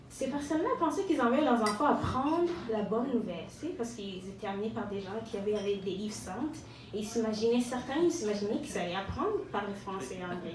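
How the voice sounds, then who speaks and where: A woman from Rwanda performs a self-mocking, ironic stand-up monologue, often pausing and repeating words. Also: medium pitch, a little mellow. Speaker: stand-up comedian. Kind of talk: stand-up monologue to a live audience. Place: live venue with an audience. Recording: echoey room, distant mic, audience noise.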